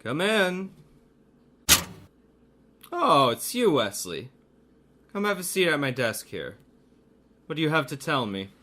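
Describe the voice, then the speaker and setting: An actor in a radio mystery playing a grandiose, greedy criminal mastermind, a gloating hypnotist doctor with a theatrical delivery. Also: male voice, fairly high pitch, very animated, slow pace, long pauses, noisy background. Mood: businesslike. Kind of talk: radio mystery drama acting.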